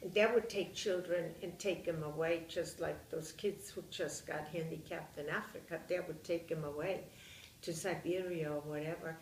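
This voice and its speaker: An older woman from Germany with a Bavarian German accent, recounting her memories in an interview, often pausing to search for words. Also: low-pitched, steady tone, roomy sound, recorded from a distance, a little hiss or hum, rough recording. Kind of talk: oral history interview.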